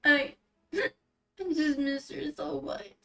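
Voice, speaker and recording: A woman voicing a fragile, guilt-ridden young character in a story, crying and halting as they speak. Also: high-pitched, gravelly, pushed and bright, distant mic, faint music behind, pitched above the performer's own voice, heavily acted. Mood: grieving, guilty. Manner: halting, trembling, apologetic.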